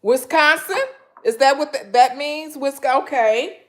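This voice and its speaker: Loud-voiced chatty woman from Michigan. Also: high-pitched, a little slow. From Michigan, USA. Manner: chatty, rambling, frequent ums, talks straight to the chat. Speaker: live-stream host. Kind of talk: casual live-stream chat with viewers. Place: home kitchen.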